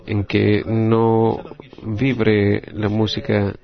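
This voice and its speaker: A man with a Latin American Spanish accent interpreting a group discussion live, in halting, phrase-by-phrase delivery. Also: fairly low pitch, completely flat delivery, creaky, dull audio.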